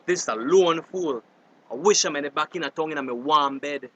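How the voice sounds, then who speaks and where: A man's voice: fairly high pitch, a little fast, pushed and bright. A man playing a worried, indignant, complaining fisherman in a radio drama, with a Belizean Creole accent. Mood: grumbling. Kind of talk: radio drama dialogue in dialect. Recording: drama over clear vehicle-like background noise.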